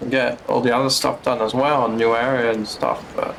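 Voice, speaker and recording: A man's voice: mid-range voice, level tone, moderate pace, creaky, a bit bright, slight background noise, low-quality recording. A man giving casual, grumbling, sometimes sweary commentary while streaming a video game.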